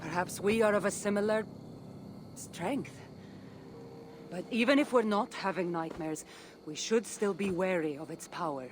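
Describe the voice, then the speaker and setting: A woman's voice: mid-range voice, a little slow, pauses often, background noise, lightly acted. An actress playing a seasoned female artifact hunter in a video game, wry and teasing yet solemn about her duty. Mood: earnest, measured. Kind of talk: video game character dialogue.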